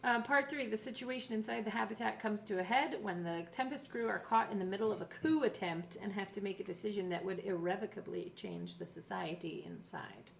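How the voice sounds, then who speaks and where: Female co-host, gravelly fry, informal chatter and read-aloud recaps, light noise behind. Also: medium pitch, fairly quick, few pauses. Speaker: co-host and player. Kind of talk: casual chat, reading episode summaries aloud.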